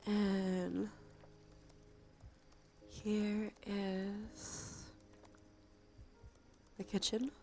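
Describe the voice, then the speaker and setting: Creaky-voiced woman, cozy ASMR streamer. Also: medium pitch, level tone, very slow, pauses often, a little hiss or hum. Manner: soft-spoken, often dropping into a whisper. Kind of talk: cozy ASMR livestream chat.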